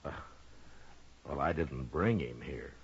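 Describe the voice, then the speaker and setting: An actor playing a grave, patient frontier marshal in an old-time radio western, measured and plain-spoken. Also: male voice, a bass voice, lively intonation, a little hiss or hum, full character voice. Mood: grave, resigned. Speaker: lead character and narrator of a western. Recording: muffled old radio recording, music behind.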